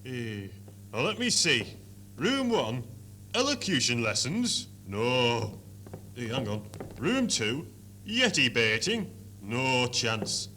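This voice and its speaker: A man's voice: medium pitch, big pitch swings, slow, bright, forceful tone, slight background noise, heavily acted. A man playing a naive, hapless working-class lad with a broad Northern English accent and exaggerated exclamations in a comedy radio play. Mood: cheerful, earnest. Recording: 1970s hospital radio recording, music behind.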